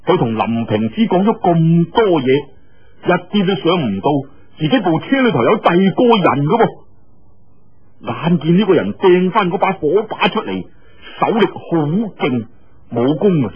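A male Cantonese storyteller delivers martial-arts fiction with drama and colloquial flair. His voice is highish and husky, with wide swings in pitch. The recording sounds dull and lo-fi. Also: big pitch swings, moderate pace.

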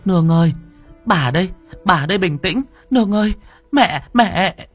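Man as frantic motherly older woman, high-pitched, stammering, repetitive, audio drama storytelling. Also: animated, moderate pace, husky, faint music behind. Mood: urgent soothing. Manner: stammering, repeating words in alarm.

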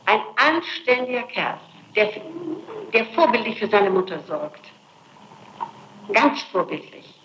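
A woman's voice: mid-range voice, very animated, takes it slowly, long pauses, noisy background. Insistent woman on dull, echoey old tape. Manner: emphatic, insistent, repeats phrases in denial. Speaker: suspect being questioned. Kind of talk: spontaneous interrogation testimony.

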